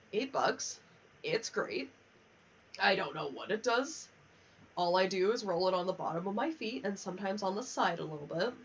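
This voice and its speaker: A young American woman rambling casually on a livestream while gaming, chatty and unfiltered with frequent swearing. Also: medium pitch. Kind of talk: casual rambling livestream chat over a video game. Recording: dull lo-fi mic with clear background noise.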